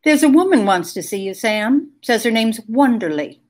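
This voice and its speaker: An actress playing a breezy, familiar secretary in a 1940s-style radio drama, brisk and casual. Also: female voice, a little high, animated, darkish tone, soft background music, natural voice.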